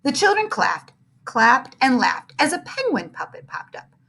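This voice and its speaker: A woman reading a children's book aloud in an expressive storytelling style. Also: sits high, measured pace, gravelly. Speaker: storybook reader.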